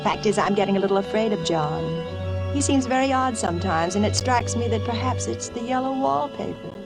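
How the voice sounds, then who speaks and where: A woman's voice: mid-range voice, creaky. An actress playing an anxious, secretive young wife losing her mind in a suspense radio drama, with a dramatic delivery that drops toward whispers. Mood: secretive, uneasy. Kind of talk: vintage suspense radio drama acting, first-person narration.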